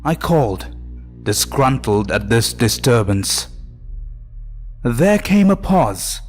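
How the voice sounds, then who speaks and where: A man's voice: medium pitch, big pitch swings, slow pace. A man reading a scripted first-person horror story as narrator, with music behind him.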